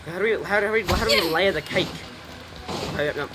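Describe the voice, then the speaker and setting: A man's voice: high voice, brisk, heavy background noise. A young man casually commenting on his gameplay, rambling, swearing and repeating himself. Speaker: gameplay streamer. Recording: game music playing behind.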